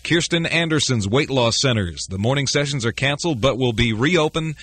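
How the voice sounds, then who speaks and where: A man's voice: mid-range voice, animated, normal speed, fairly bright tone, no echo. A man announcing as a morning DJ on 1980s local AM radio, reading notices in a studio. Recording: radio aircheck with music behind.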